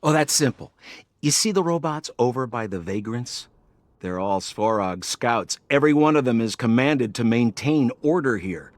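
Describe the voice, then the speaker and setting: A man playing a smooth-talking, boastful young swindler guide in a video game, with a theatrical, wheedling salesman's manner. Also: mid-range voice, animated, moderate pace, dry recording, lightly acted. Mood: breezy explaining.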